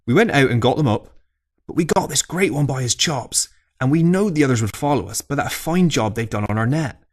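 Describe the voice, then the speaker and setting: A man voicing an old Cornish fisherman telling a tall pub tale in animated, exclamatory dialect. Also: medium pitch, brisk, pitched above the performer's own voice, close to an everyday voice. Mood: indignant.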